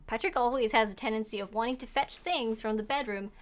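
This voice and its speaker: A woman's voice: fairly high pitch, fast. A young woman who speaks Norwegian as her first language chats casually with viewers while playing, reading their messages aloud and laughing often. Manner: chatty and giggly, laughs often; talks to chat while playing. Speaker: game streamer.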